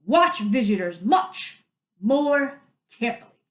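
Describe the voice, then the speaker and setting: A woman's voice: a little high, very animated, takes it slowly. Woman playing a curt, scolding male mayor, echoey. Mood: commanding. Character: glaring, authoritative male town mayor.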